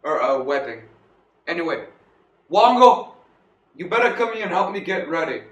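An echoey, distant stage recording of a bumbling old turkey reverend. The voice is pitched high and swoops theatrically, pompous one moment and flustered the next, tripping over words. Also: male voice, sing-song, normal speed, a bit bright. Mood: flustered, apologetic. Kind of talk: theatrical comic monologue performance. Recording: echoey room, distant mic.

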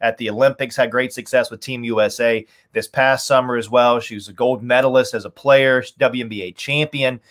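A man's voice: medium pitch, fairly even intonation, a little fast, hardly any pauses, a bit bright. Man giving emphatic sports analysis. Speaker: radio play-by-play announcer, podcast guest. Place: small home room, indoors. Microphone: video call, webcam framing.

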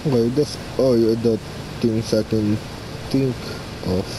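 A young man giving casual gameplay commentary, halting as he muses aloud, close to the mic. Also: medium pitch. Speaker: gaming video host. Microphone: mic placed right in front. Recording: steady background hum and noise.

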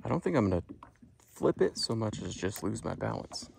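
Man vlogging casually with a gravelly voice. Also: fairly low pitch, big pitch swings, brisk. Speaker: host. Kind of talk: casual outdoor vlog, talking to himself. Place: outdoors in a kayak on the water. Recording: noisy outdoor recording on the water.

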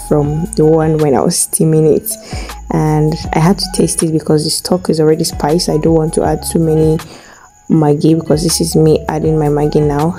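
A woman's voice: low voice, warm tone. A young woman from Nigeria giving a chatty voice-over of her own cooking as a food vlogger, repeating her words and now and then giggling. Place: home kitchen for the intro.

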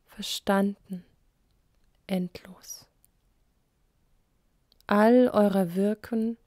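A woman poet reciting verse in short phrases, softly and with measured pauses, her phrase endings often breathy. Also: medium pitch, dry recording, studio quality.

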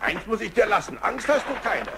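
A man playing a gruff, wise old grandfather mountain sheep in a children's radio play. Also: high-pitched, sing-song, fairly quick, background noise, heavily acted. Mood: impressed.

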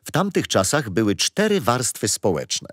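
A man's voice: mid-range voice, wildly swooping pitch, normal speed, fairly bright tone, dry recording, clean studio sound. An actor playing a kindly older grandfather who patiently tells stories and history in a children's radio play. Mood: patient, explaining. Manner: patient, playful teasing. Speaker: storyteller grandfather.

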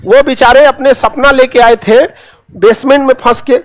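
A man's voice: extremely high pitch, steady tone, normal speed. A man in his thirties with a Bihari accent, teaching in an informal, sarcastic, colloquial style full of rhetorical questions. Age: 30s. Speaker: coaching teacher. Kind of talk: informal classroom lecture with commentary. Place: indoor classroom studio at a presentation board.

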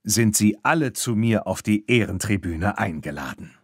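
Man reading a smooth, courtly horse dealer. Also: lowish voice, lively intonation, normal speed, dry recording, clean studio sound. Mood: cheerful. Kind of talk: audiobook character reading.

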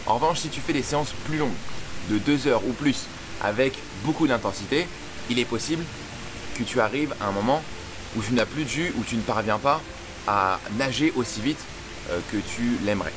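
A young man, a swim coach, explaining to the viewer outdoors by a pool, talking informally and clearly. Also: medium pitch, normal speed, background noise. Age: young adult. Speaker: swim coach and channel host. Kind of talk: direct-to-camera tutorial. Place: outdoors by a pool under a covered walkway.

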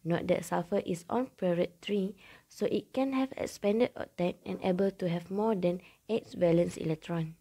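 Young female tutorial narrator, lowish husky voice, careful scripted read. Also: normal speed, dark tone. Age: young adult. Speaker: student presenter.

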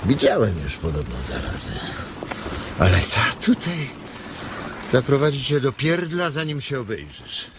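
Radio play drifter: blunt, protective, very animated pitch over clear background noise. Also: male voice, measured pace. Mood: angry. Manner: wary, blunt, bossy. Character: sharp, irritable, protective male migrant worker. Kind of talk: Polish radio drama acting. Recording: noisy, birdsong ambience behind.

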